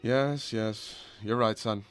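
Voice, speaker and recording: A man's voice: fairly low pitch, fairly even intonation, slow, clean tone, faint music behind. An actor playing a kindly, weary, principled small-town father in a radio drama. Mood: sincere. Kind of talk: old-time radio drama acting.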